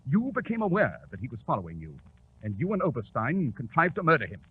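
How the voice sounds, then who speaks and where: A man playing a shrewd, commanding detective in 1940s radio drama, explaining with crisp authority. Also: medium pitch, big pitch swings, fast talker, lightly acted. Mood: coolly triumphant. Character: shrewd, commanding English detective, coolly superior. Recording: tinny, noisy 1940s radio recording.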